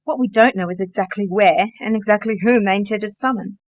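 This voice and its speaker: A woman's voice: medium pitch, brisk, close to an everyday voice. A woman playing a genteel, dryly witty sorcerer in a radio play, polite, precise and matter-of-fact. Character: composed, genteel, dryly witty female sorcerer, organizer of magic workers.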